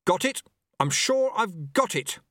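A man's voice: sits high, expressive, moderate pace, fairly bright tone, dry recording, studio quality, voice pushed up. A man voicing an earnest, anxious young male novice in an audiobook, hesitant and eager. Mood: earnest, focused. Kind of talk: audiobook character voice.

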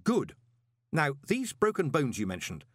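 A man's voice: fairly high pitch, huge pitch swings, measured pace, a bit bright, no echo, studio quality, voice pushed up, heavily acted. A man voicing a wry, uneasy new postmaster in an audiobook, questioning and nervously putting on good cheer. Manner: questioning, nervous, falsely jovial. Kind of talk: audiobook character voice.

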